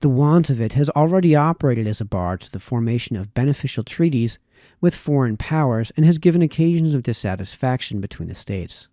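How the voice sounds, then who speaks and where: A man reads formal, old-fashioned prose aloud. His voice is mid-pitched and warm, with a relaxed, mellow tone. He phrases deliberately, occasionally tripping on a word, and the sound is dry with no room echo. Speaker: reader, host of a reading series. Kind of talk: reading aloud formal historical essays.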